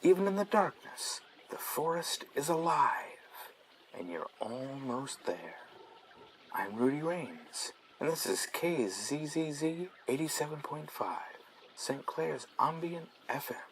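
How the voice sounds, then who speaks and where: A man playing a dreamy, soothing overnight DJ on an ambient radio station, speaking in a hushed, mock-serene, drawn-out way. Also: fairly high pitch, animated, slight background noise, heavily acted, poor audio. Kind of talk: parody late-night ambient radio announcing.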